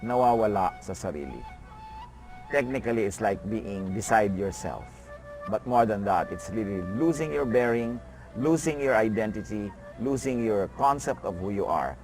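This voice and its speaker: Man, low-ish voice, earnest explanatory interview talk, faint background music. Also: animated, moderate pace, darkish tone, poor audio. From Philippines. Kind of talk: documentary interview.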